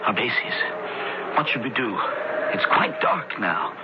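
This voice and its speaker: A man's voice: medium pitch, expressive, takes it slowly. An actor playing an anxious, earnest young man in a radio drama, speaking with theatrical urgency. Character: anxious young man searching dark tombs for his friend. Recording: very noisy muffled old radio broadcast.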